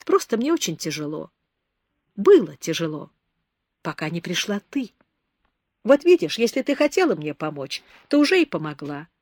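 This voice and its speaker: A woman reading aloud, voicing a weary grown man who speaks softly and tenderly to calm a girl. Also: lowish voice, normal speed, pauses often, no room sound, clean studio sound. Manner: soft, tender, calming.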